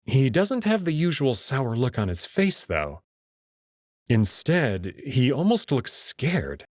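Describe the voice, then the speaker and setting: A man's voice: medium pitch, big pitch swings, takes it slowly, no echo, clean studio sound. A man narrating a visual novel as a scripted, dramatic read-aloud. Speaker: host and narrator.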